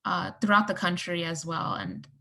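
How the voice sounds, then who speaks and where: Woman guest, fairly low voice with fry, measured and explanatory. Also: fairly even intonation, pushed and bright. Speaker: panel guest, communications director. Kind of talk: casual panel talk.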